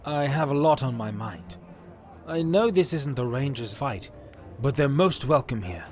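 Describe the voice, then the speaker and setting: A man voicing a thoughtful male knight leader who speaks in a measured, reassuring way. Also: medium pitch, lively intonation, voice pushed up, lightly acted.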